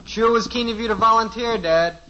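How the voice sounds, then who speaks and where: A man's voice: high-pitched, fairly quick, sharp tone, a little hiss or hum. An actor playing an eager, scheming teenage son in a 1950s radio sitcom, earnest and breezy, fumbling his words. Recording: dull lo-fi old radio transcription.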